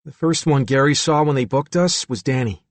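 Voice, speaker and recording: A friendly, talkative young man, as a male audiobook reader puts him on, speaks in an easygoing, casual way. His delivery is relaxed and conversational. The recording is dry and close. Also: mid-range voice, normal speed, dry recording, voice pushed up, close to an everyday voice. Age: young adult.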